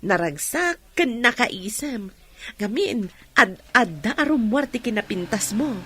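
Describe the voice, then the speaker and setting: A Filipino woman acting a cheerful, fond, encouraging companion in an expressive, chatty radio drama style. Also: on the high side, a little slow, husky, exaggerated voice. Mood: delighted, fond.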